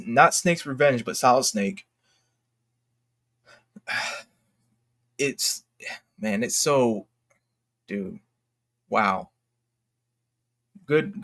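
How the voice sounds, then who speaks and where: A man's voice: medium pitch, a bit bright. Man in a rambling, emphatic casual rant. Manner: rambling, halting, self-interrupting; exclamatory, slangy, emphatic. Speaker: YouTube commentator. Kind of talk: unscripted casual commentary rant.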